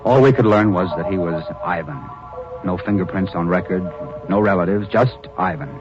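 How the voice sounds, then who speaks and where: An actor playing a newspaper reporter narrating an old radio drama, earnest and slightly halting. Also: male voice, low-pitched, lightly acted. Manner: earnest storyteller, hesitant repeats. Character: newspaper reporter narrating his story, adult man. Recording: dull old radio recording with music.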